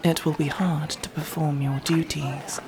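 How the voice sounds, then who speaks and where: A woman playing a regal, resentful, dutiful sorceress matriarch in a scripted ASMR roleplay, speaking in a formal, measured, imperious way. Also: low voice, level tone, noisy background. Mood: commanding.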